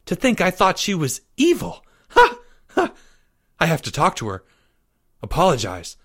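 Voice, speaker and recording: A man's voice: high-pitched, wildly swooping pitch, moderate pace, a bit bright, pitched above the performer's own voice, heavily acted. A man voicing a lovestruck young boy in a storybook, theatrical and pompous. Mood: dreamy, grandiose.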